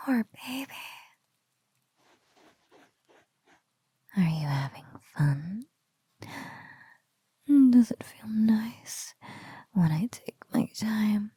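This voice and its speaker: A woman voicing a sultry, smugly menacing spider-woman bully in an intimate ASMR roleplay, breathy and often slipping into whispers, with mock-pitying coos. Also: lowish voice, warm tone, dry recording, full character voice. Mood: whispery, teasing.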